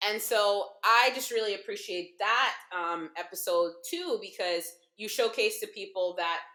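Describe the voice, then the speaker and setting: A woman's voice: mid-range voice, a little slow, pushed and bright. A woman in her thirties from Guyana who grew up partly in America, chatting casually and emphatically as co-host, repeating phrases for stress. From Guyana, grew up partly in the United States. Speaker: co-host of a cooking show. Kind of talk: casual warm conversation with her mother. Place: living room, seated on a sofa. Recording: roomy living-room echo.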